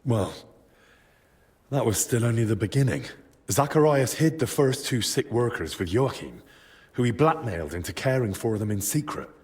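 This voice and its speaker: Young medieval hero played by a male actor. Earnest, respectful, measured delivery, medium pitch, game drama. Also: lively intonation, darkish tone, close to an everyday voice. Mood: grave, explaining. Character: earnest, determined young man in medieval Bohemia. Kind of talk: dramatic video game cutscene acting.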